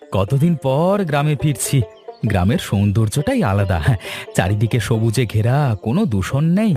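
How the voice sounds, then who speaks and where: A man voicing a caring, watchful grown grandson in a village cartoon, gentle and reassuring. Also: mid-range voice, sing-song, normal speed, faint music behind, close to an everyday voice. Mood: reflective. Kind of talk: Bengali cartoon voice acting.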